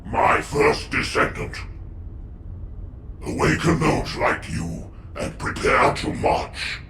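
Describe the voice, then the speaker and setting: An actor playing a proud, obsessed warlord in a video game, gravelly and commanding. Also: male voice, medium pitch, huge pitch swings, slow, heavily acted. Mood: defiant anger. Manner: commanding, imperious, forceful. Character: proud, obsessed male warlord sovereign of a dark legion. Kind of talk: dramatic video game acting. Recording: roomy echo, distant, faint noise.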